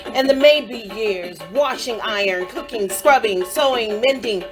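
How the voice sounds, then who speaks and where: A woman reciting poetry in a solemn, dramatic style, with deliberate, measured phrasing and pauses between short phrases. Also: a little high. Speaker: poetry reader. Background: African drum music.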